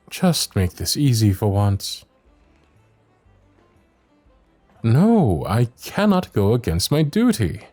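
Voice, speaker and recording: A man's voice: mid-range voice, no echo, full character voice. A man playing a loyal, protective royal knight with a wry, courtly manner in an ASMR fantasy audio roleplay. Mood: exasperated, earnest. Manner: teasing, courtly, expressive, addressing the listener.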